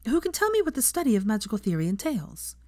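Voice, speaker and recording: A young schoolmistress, as a woman narrator plays her, addresses her class in a raised, projected voice. She is brisk and earnest, encouraging pupils with questions in an audiobook story. The sound is dry. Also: on the high side, huge pitch swings, a little mellow, no echo, voice pushed up. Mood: brisk, instructive.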